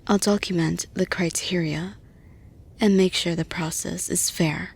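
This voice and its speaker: A woman playing a caring, persuasive boss in an intimate audio roleplay, soft-spoken and breathy, often dropping to a whisper, deliberate and reassuring. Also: lowish voice, steady tone, full character voice. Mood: soothing, reassuring. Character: caring, persuasive female boss offering support to employee.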